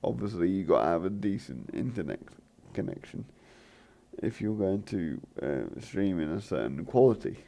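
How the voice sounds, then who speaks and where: Croaky low-voiced guy rambling over a let's play, lots of ums and restarts, voice bounces up and down. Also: sing-song, moderate pace, warm tone. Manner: hesitant, many fillers and restarts. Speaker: gaming channel host.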